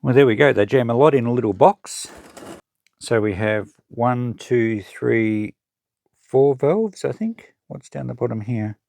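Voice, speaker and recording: An Australian man giving casual hands-on commentary, thinking aloud and explaining things in an unhurried way as he works. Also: medium pitch, expressive, creaky, a little mellow, no room sound. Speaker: presenter of a vintage electronics salvage channel.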